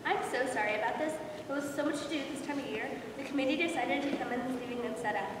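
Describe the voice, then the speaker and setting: A woman's voice: high voice, racing, close to an everyday voice. A woman in an amateur readers theater skit playing a fussy, prim churchgoer. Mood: apologetic. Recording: echoey hall from far off, noisy.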